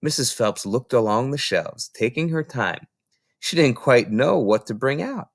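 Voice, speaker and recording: Male storybook reader, expressive narration, mid-pitched, highly animated intonation, little room sound. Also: unhurried, no echo, studio quality.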